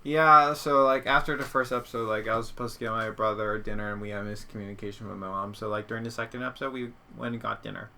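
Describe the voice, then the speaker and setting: Young man chatting, bright voice with creak. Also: on the low side, flat delivery, fast talker, few pauses, slight background noise. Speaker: podcast co-host. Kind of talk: casual chat reviewing audio dramas.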